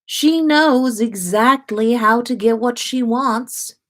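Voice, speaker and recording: A proud young father in a storybook, as a woman reads him. He is fond and a little dry, with a mock-stern streak and slow, deliberate words. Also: fairly high pitch, fairly bright tone, pitched above the performer's own voice. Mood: mock disappointed.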